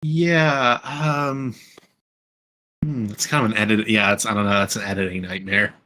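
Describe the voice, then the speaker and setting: A man's voice: on the low side, fairly even intonation, normal speed, bright, forceful tone. A man in a casual group podcast, speaking hesitantly as he thinks things through aloud. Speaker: podcast panelist and fan voice actor.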